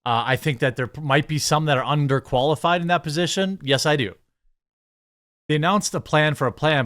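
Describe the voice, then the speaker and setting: A man's voice: mid-range voice, fairly bright tone. Blunt, sarcastic American male commentator. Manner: blunt, sarcastic, exasperated asides. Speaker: commentary show host. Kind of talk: opinionated news commentary, reading articles aloud and reacting.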